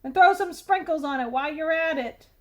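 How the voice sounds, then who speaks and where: Woman voicing a whiny, high-pitched, bossy talking tooth. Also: fairly quick, close to an everyday voice. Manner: pleading, melodramatic, mouthy. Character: whiny, bossy sugar-craving talking tooth, wheedling and dramatic.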